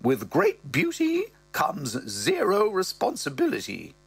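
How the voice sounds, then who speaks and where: Male reader doing the posh boozy old-dear mother: high, swooping, husky, imperious drawl. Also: huge pitch swings, fairly bright tone, dry recording, full character voice. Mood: haughty, droll. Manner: imperious, drawn-out, scandalised. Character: posh elderly mother, haughty, tipsy, blunt.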